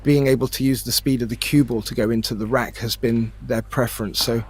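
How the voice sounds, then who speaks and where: A man giving conversational live pool commentary in measured phrases, often repeating a word as he thinks. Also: mid-range voice, steady tone, slight background noise. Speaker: sports commentator. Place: remote commentary from his own location.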